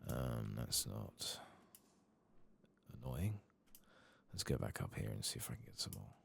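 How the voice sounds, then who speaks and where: A British man with an extremely low voice, thick with creak and fry, speaks softly as he plays a game in ASMR style. He rambles in a low-key, musing way, close to the mic. A faint noise sits behind him. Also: very animated, unhurried. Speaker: gaming streamer, ASMR host. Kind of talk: soft-spoken ASMR game commentary, partly whispered.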